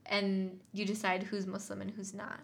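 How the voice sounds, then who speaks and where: A woman's voice: mid-range voice, completely flat delivery, normal speed, gravelly. A woman co-hosting a podcast as a story writer, casually explaining her thinking in a reflective way.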